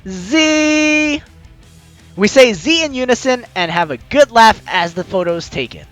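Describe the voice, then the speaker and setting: A man playing a visual novel, reading the text aloud with excitable, joking reactions and the odd stumble, over music. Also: very high voice, unhurried. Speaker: let's player. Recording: music behind the voice.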